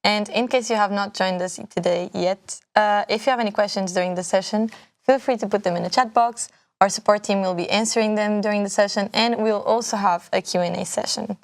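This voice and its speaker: A young woman from Portugal hosting a live student talk show, upbeat and chatty, with quick bursts of speech. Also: mid-range voice, fairly even intonation. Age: young adult. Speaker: host.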